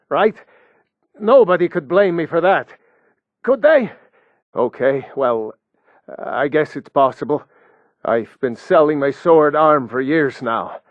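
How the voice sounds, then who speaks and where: A scared captive sellsword in a fantasy video game, in a fairly high voice. He stammers and pleads slowly and haltingly. The audio is dry and a little dull. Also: no room sound. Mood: hesitant, fearful. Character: frightened, pleading captive Nord mercenary, a weak-willed sellsword. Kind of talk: video game character dialogue.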